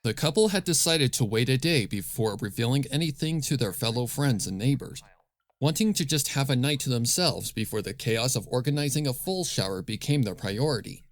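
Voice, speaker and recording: A man's voice: medium pitch, lively intonation, a little fast. A man reading scripted fan fiction as the narrator of a story.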